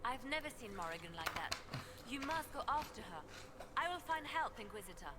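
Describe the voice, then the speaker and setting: An actress playing a worried, earnest advisor in a video game, speaking with urgency. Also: female voice, fairly high pitch, very animated, a little fast, bright, forceful tone. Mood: alarmed. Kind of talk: dramatic video game dialogue. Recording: noisy game audio.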